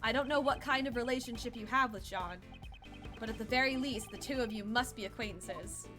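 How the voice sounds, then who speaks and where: A woman voicing a composed male prosecutor in a video game, speaking in a formal, measured, probing way. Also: a little high, music in the background, lightly acted. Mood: polite, probing.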